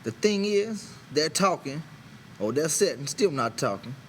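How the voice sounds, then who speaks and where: A man's voice: on the high side, sing-song, a little slow, a little hiss or hum, pitched above the performer's own voice. A man voicing a proud, bitter, lonely stable hand in an audiobook, talking in an insistent, rambling colloquial way. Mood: excited. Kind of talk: character voice in an audiobook reading.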